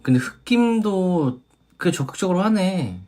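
A young woman co-hosting a casual dating-show review, relaxed and musing, often with a light laugh. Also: on the low side, measured pace.

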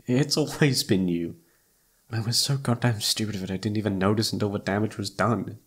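A young man playing a lovesick, self-blaming best friend in a halting, emotional, intimate romantic audio roleplay, with a husky voice. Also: on the low side. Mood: regretful.

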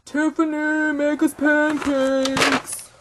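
A woman's voice: a little high, animated, moderate pace, background noise, close to an everyday voice. Woman doing a blunt, bossy doll dad. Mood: bossy, irritated. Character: Ken, curt, bossy male doll father playing favorites.

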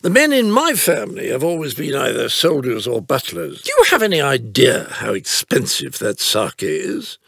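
A gravelly, bright-voiced old British butler from a video game. His pitch swoops widely as he speaks with fussy, deferential courtesy and dry understatement. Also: huge pitch swings, moderate pace, hardly any pauses, dry recording, heavily acted. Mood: politely puzzled. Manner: formal, deferential, drily understated. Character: prim elderly British butler, polite, fussy and bemused. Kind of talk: scripted video game character lines.

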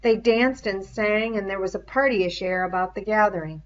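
A woman's voice: mid-range voice, normal speed. A woman reading a novel aloud as scripted narration, on a dull lo-fi recording. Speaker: audiobook reader.

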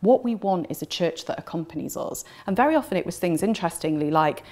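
A woman's voice: on the low side, lively intonation, fast talker, a little mellow. A woman in her forties, seated indoors, explaining church matters in an earnest, fluent interview and stacking up rhetorical questions for emphasis. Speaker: theologian interviewed on the synod. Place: indoor room with plain walls.

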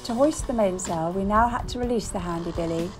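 A woman reading calm, explanatory voice-over narration for a sailing video. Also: medium pitch, fairly quick. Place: voice-over, not recorded on deck. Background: music.

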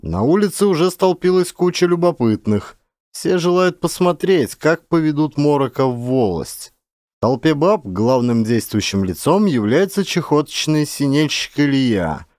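A man's voice: medium pitch, sing-song, normal speed, a little mellow, no room sound, clean studio sound. A man narrating a literary story in a dramatic, scripted style. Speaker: audiobook narrator, actor.

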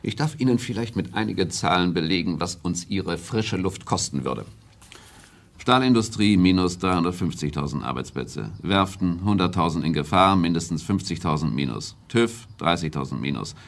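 An actor playing a stern, authoritative prime minister in a radio play, speaking formally and deliberately, as if lecturing. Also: male voice, low-pitched, expressive, creaky. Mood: insistent. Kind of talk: German radio play acting.

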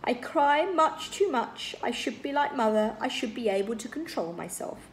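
A woman's voice: mid-range voice, expressive, quick pace, slight background noise, lightly acted, low-quality recording. A woman voicing an anguished, self-doubting young teenage girl in a storybook, with a trembling, emphatic delivery. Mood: tearful, miserable.

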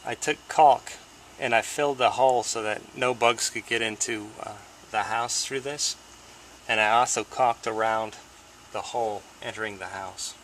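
Middle-aged male DIY instructor, plain step-by-step delivery, bright tone, recorded on a slightly distant camera mic. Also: fairly low pitch, moderate pace, slight background noise. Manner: plain step-by-step explaining, relaxed. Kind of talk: hands-on how-to demonstration. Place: outdoors by a house, then indoor workshop. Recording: slightly distant camera audio with faint ambience.

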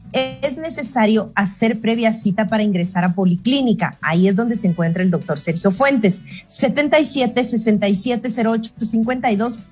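A woman in her thirties with a Guatemalan Spanish accent, hosting a call-in show and reading listener messages in a clear, measured way. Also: fairly high pitch, darkish tone. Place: home room on a video call. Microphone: earphone mic on a video call.

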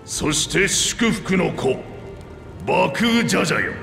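A man playing an aging two-headed reptilian king in a video game, commanding, frank and gruff in his manner. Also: high-pitched, very animated, a little slow, fairly bright tone, exaggerated voice. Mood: solemn, commanding. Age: older. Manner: gruff, regal, plain-spoken old-ruler speech. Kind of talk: Japanese video game voice acting. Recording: echoey hall reverb, background music.

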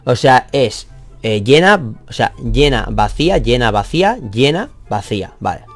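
A man's voice: medium pitch, big pitch swings, measured pace, faint music behind. A young man whose first language is Catalan, reading viewer comments from a small office and answering them in a rambling, self-deprecating way, swearing casually. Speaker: math youtuber.

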